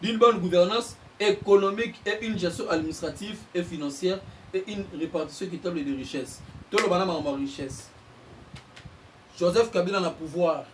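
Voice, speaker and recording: A Congolese man, a journalist and political analyst, giving impassioned commentary and getting louder as he presses his points. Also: sits high, far from the mic, a little hiss or hum, low-quality recording. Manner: heated, mixes French and Lingala, bursts louder on points.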